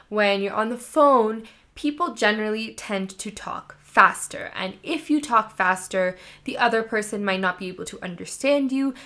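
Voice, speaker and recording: A woman speaking as a career coach, giving instructional advice in an expressive, emphatic, conversational way. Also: fairly high pitch.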